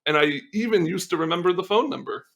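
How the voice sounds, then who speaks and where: A man guesting on a podcast, riffing playfully in casual, joking banter with a deadpan streak. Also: high voice, fast, dull audio.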